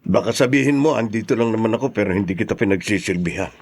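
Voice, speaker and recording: A man's voice: fairly low pitch, lively intonation, fast talker, vocal fry, a little mellow. An actor playing a middle-aged husband and father who turns curt and defensive when pushed. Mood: irritated. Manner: teasing, then curt and defensive. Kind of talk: TV drama acting.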